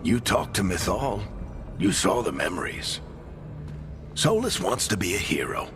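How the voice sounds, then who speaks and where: A very deep male game character, a weary and wry friend with fatherly warmth. His intonation rises and falls dramatically in an informal, unhurried way, with music playing strongly behind him. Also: big pitch swings, exaggerated voice. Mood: gentle, wry farewell. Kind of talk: cinematic game dialogue acting.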